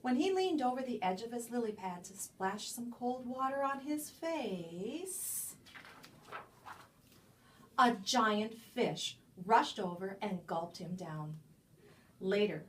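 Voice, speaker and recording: A woman reading a children's picture book aloud as a storyteller, with expressive, emphatic delivery and dramatic pauses. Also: on the high side, slight background noise. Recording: distant microphone.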